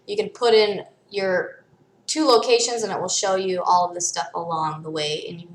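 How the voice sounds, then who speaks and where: A woman's voice: lowish voice, fairly even intonation, normal speed. American woman vlogger in an echoey room. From United States (born in Michigan, raised in Arizona from age seven or eight). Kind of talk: casual explanatory vlog talk.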